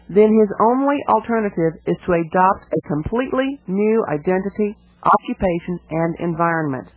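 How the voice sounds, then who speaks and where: A woman hosting a radio broadcast, reading a script in a measured, formal and earnest way. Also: medium pitch, no echo. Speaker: radio show host. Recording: dull lo-fi radio recording.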